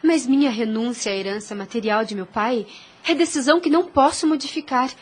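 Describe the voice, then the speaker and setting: A woman playing a devout, self-sacrificing young woman in an audio drama, earnest and formal, gentle yet firm. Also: fairly high pitch, brisk, breathy edge. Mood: calm, resolute.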